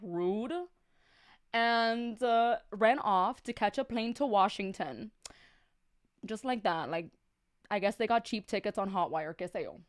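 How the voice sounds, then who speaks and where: A woman's voice: mid-range voice, animated, a little slow. A woman reading a story on a live stream, throwing in sassy, slangy, exasperated asides.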